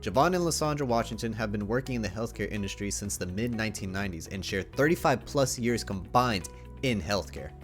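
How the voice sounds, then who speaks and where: A man's voice: fairly low pitch, a little fast. Man reading a sponsor ad over faint music. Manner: steady, scripted delivery. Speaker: ad reader. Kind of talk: read sponsor ad.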